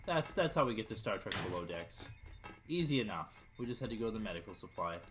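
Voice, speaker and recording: A man's voice: on the low side, very animated, quick pace, a bit bright, faint music behind. A man giving casual, wry commentary as he plays a video game, often muttering to himself. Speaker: let's play video game host.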